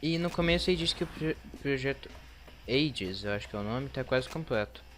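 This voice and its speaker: A man's voice: medium pitch, animated, fast. A man with a Brazilian Portuguese accent giving casual gameplay commentary as a let's play host. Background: game music.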